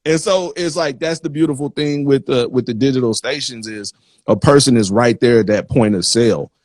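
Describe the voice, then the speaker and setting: Male interview host, medium pitch, dry sound, casual and informal conversational explainer. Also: moderate pace, darkish tone, dry recording.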